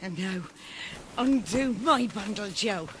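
A woman's voice: medium pitch, lively intonation, takes it slowly, noisy background. An actress playing a bitter, shameless Victorian charwoman with a British accent, self-righteous and insistent, in a radio drama.

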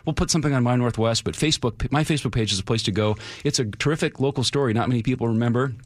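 Male radio contributor: quick, eager, rambling, conversational, very dry studio sound. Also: mid-range voice, no echo. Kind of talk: casual on-air chat.

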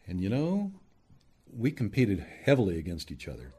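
A man's voice: fairly low pitch, huge pitch swings, moderate pace, mellow, breathy edge. An older man, a veteran radio journalist, reminiscing in an interview in short emphatic phrases.

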